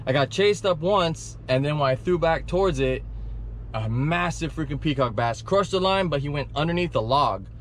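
A man in his thirties vlogging while fishing outdoors, chatting and coaching in a casual, excited way. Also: fairly high pitch, moderate pace. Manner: excited, chatty, hushed when stalking fish. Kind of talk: casual vlog narration and coaching. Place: outdoors by a canal, sometimes in a car. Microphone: action camera. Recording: outdoor camera audio with wind and traffic noise.

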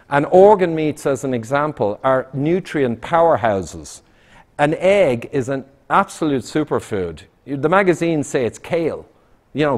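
A man's voice: a little high, a little mellow. A middle-aged man giving a punchy, emphatic talk to a live audience in a town hall, recorded from a camera across the room. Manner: punchy, emphatic, quick asides. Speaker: public speaker. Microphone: far camera, room sound.

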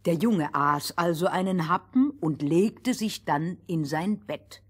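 A woman's voice: fairly low pitch, takes it slowly, husky. A woman playing a bossy, exacting storyteller in a fairy-tale audio play, with emphatic delivery. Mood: narrating. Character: bossy, exacting female storyteller, correcting her partner. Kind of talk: acted fairy-tale narration in dialogue.

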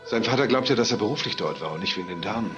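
An actor playing a dry, probing police detective with pointed delivery in a German crime radio drama. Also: male voice, on the low side, lively intonation, racing, lo-fi sound, music in the background, natural voice.

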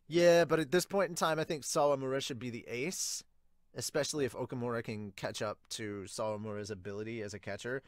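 A man's voice: mid-range voice, fairly quick, no room sound, studio quality. A man in his thirties talking informally and expressively to viewers as a review host, thinking aloud. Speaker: host of manga review channel. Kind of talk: casual reaction and commentary to viewers. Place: small home room, indoors.

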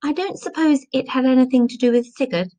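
A woman voicing a friendly, teasing woman with a brisk, wry manner in a story. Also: high voice, mellow, voice pushed up, lightly acted. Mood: knowing.

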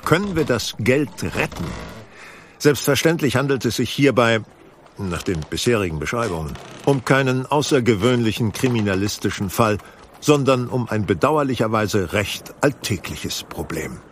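An actor playing a composed, wry detective in an audio drama, explaining things in a measured, articulate way with dry irony. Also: male voice, mid-range voice, lively intonation, slight background noise, lightly acted. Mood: brisk, businesslike. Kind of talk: German audio drama acting.

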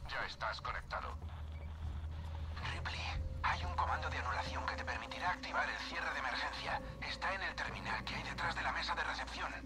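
A man's voice: mid-range voice, brisk, long pauses, close to an everyday voice. A man voicing an engineer who gives terse, urgent instructions over the radio in a dubbed game. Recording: noisy game audio.

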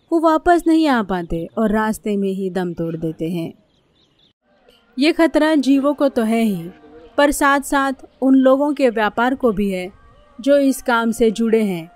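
A woman's voice: on the high side, darkish tone. A woman reading scripted narration for a documentary.

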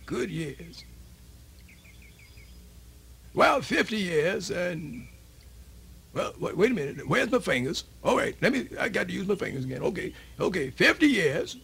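An actor playing an old man from the American South, telling his life in a rambling, folksy, gravelly radio-theatre monologue with a Southern accent. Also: high voice, sing-song, unhurried, bright, forceful tone, exaggerated voice. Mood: slow, muddled. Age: older. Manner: rambling, repeats himself, folksy.

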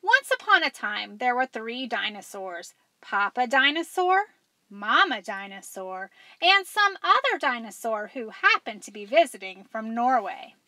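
An American woman in her forties reading a children's story aloud as a school librarian, animated and emphatic. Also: fairly high pitch, a little slow, no room sound. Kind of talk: expressive storybook read-aloud.

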